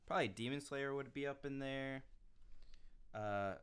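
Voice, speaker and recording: A man live streaming a building game, chatting casually with his viewers and reacting with excited exclamations. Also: medium pitch, measured pace, clean tone. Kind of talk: casual live-stream chat while gaming.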